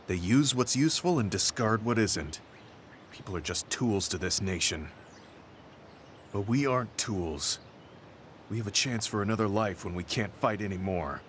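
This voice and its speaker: An actor playing a stoic, earnest veteran soldier in a video game, speaking in a serious, reserved, measured way. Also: male voice, lowish voice, animated, slight background noise. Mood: grimly resolute. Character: stoic, earnest male veteran soldier, quietly grieving and resolute. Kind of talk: English video game voice acting. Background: soft background music.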